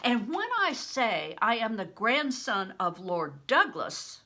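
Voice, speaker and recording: A woman's voice: on the high side, very animated, slow, pushed and bright, slight background noise, pitched above the performer's own voice, full character voice. An older woman reading aloud as a defiant young peasant boy, speaking earnestly and firmly.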